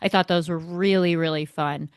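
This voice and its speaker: A woman's voice: on the low side, steady tone, normal speed, smooth, no echo, studio quality. A woman co-hosting a motorsport show, chatting casually about the sport. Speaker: motorsport podcast co-host.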